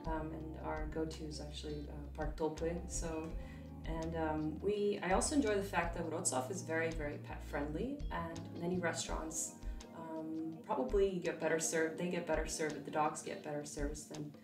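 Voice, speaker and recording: A young woman in her twenties whose first language was Russian talks easily and a little low, with plenty of fillers and restarts. She sounds set back from the mic in an echoing room, with music playing beneath her voice. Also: moderate pace, distant mic. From California, USA. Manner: relaxed, chatty, with frequent fillers and restarts. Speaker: interview guest, vet student. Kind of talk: casual interview chat.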